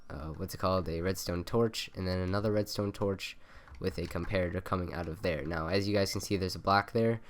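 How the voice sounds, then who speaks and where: A man's voice: low voice, brisk, hardly any pauses, a little hiss or hum. A young man with a nasal voice rambling through a game tutorial, with lots of ums and self-corrections, on a cheap desk mic. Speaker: gaming tutorial maker. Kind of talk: casual game tutorial voice-over. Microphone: cheap USB desk mic by the keyboard.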